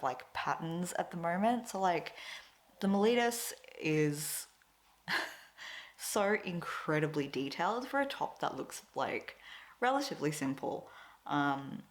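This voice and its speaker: A woman's voice: deep voice, animated, unhurried. A woman, a Tagalog speaker, chatting casually to camera as a crafting vlogger, rambling with lots of fillers and frequent laughs. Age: 20s.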